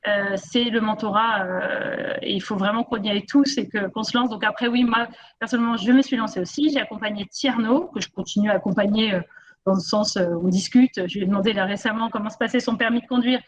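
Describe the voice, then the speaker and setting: A woman's voice: mid-range voice, a little fast, muffled recording. A woman giving a spontaneous testimonial as a mentor, speaking hesitantly with repeated words and emphasis. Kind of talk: spontaneous spoken testimonial at a conference.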